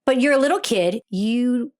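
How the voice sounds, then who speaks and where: A woman hosting a relaxed conversation podcast, quick to tease and joke with her guest. Her pitch moves a lot, rising and dipping through each question. She sounds like she is in her fifties. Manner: playful, quick teasing questions and jokes. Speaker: podcast host. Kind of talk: casual interview chat.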